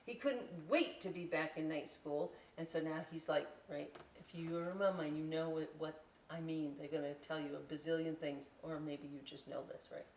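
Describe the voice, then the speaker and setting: A woman's voice: deep voice, normal speed, slight background noise. A woman hosting a library story time, reading a picture book aloud with chatty, folksy asides to children. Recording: echoey room, distant microphone.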